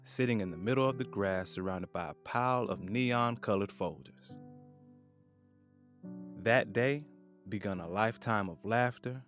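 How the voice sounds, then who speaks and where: A man narrating an audio drama in a measured, solemn way. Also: lowish voice, a bit bright, faint music behind.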